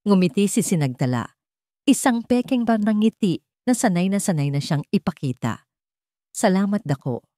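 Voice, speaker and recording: A woman's voice: mid-range voice, sing-song, moderate pace, mellow, dry recording, clean studio sound. A woman reading a scripted dramatic family story as its narrator. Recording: music behind the voice.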